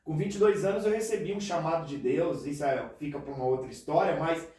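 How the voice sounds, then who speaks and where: A man's voice: a little high, quick pace, a little mellow, very echoey, recorded from a distance. A man from Paraná with a São Paulo city accent, a pastor telling his story in a casual podcast interview, earnest and chatty, tagging phrases with "né". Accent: São Paulo city Portuguese. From Brazil, Paraná state. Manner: earnest, chatty, frequent "né" tags.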